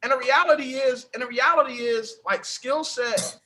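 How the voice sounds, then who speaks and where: A man's voice: extremely high pitch, normal speed, sharp tone, a little hiss or hum, low-quality recording. A man co-hosting a sports podcast, arguing his point emphatically and calling others bro. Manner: emphatic, argumentative, calls people bro. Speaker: podcast co-host. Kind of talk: heated casual debate.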